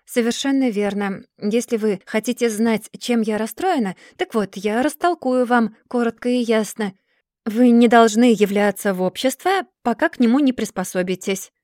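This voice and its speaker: A woman's voice: on the high side, normal speed, darkish tone, dry recording, clean studio sound, lightly acted. A woman voicing a haughty society lady who scolds her husband in a cool, superior way, in a storybook reading. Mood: scolding. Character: haughty cold society lady, a rich man's wife.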